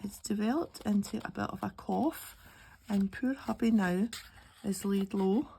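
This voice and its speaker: A middle-aged Scottish woman, slightly croaky with a cold, chatting and thinking aloud as she narrates a casual craft tutorial. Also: mid-range voice, measured pace, warm tone, poor audio. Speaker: craft channel host. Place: indoor craft table. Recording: clearly audible background noise, handling clicks.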